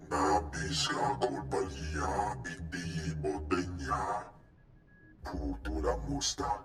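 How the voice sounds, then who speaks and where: Greedy alien crime lord for a game cutscene. Low, unhurried, scheming, talking in an invented tongue. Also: male voice, echoey room, slight background noise. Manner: slow, deliberate delivery in an invented alien language. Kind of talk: video game cutscene acting.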